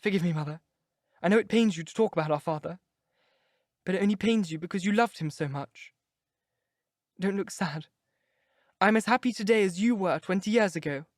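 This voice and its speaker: A man's voice: sits high, brisk, long pauses, no echo, studio quality, pitched above the performer's own voice, close to an everyday voice. Man doing a dreamy lovestruck girl. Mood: adoring. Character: young woman, dreamy and lovestruck.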